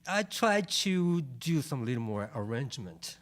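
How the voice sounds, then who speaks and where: A man from Japan with a Japanese accent, a pianist being interviewed in a music studio, talking haltingly and searching for words. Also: medium pitch, big pitch swings, fairly bright tone. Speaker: guest pianist. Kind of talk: casual interview chat.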